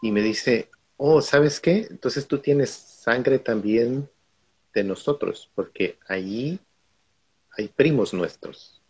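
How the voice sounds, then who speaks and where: A man's voice: medium pitch, takes it slowly, darkish tone, husky. A middle-aged man from Guatemala, a guest on a video call, telling stories from his own life in a reflective way. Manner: reflective, storytelling. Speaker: guest speaker.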